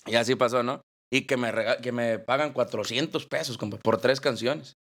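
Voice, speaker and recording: A man's voice: mid-range voice, steady tone, normal speed, fairly bright tone. A man in his twenties with a Mexican accent, a banda singer telling stories as the guest in a casual interview. He jokes, laughs and uses slang. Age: 20s. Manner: laughing, joking storyteller, slangy.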